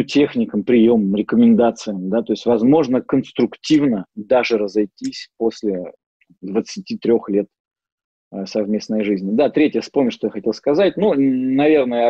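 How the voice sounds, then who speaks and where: Middle-aged guy lecturing, lots of ums and restarts, mellow husky voice. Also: lowish voice, no echo. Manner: hesitant, many fillers and restarts. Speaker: psychologist giving a lecture. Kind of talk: informal lecture with personal stories. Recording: dull lo-fi dry sound.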